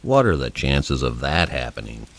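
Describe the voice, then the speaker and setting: A man voicing a gloomy, pessimistic talking dog with a glum, deadpan, gravelly delivery in a comedic audio drama. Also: very deep voice, moderate pace, heavily acted. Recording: faint noise with rain.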